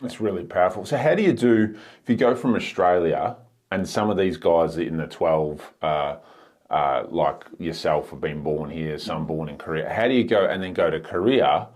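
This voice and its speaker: A man with a deep, low-pitched voice hosts a podcast conversation. He asks questions casually and affirms his guest in an encouraging manner. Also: moderate pace, darkish tone. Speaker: podcast host, interviewer.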